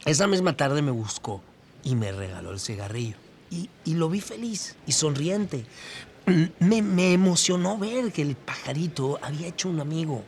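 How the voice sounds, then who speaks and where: An actor playing a kindly, sentimental Mexican campus worker giving testimony in an audio drama, chatty and hesitant. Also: male voice, on the high side, animated, moderate pace, a little mellow. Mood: warm, moved. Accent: Latin American Spanish, Mexican. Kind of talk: documentary-style testimony, scripted audio drama. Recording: voice over noticeable ambient noise.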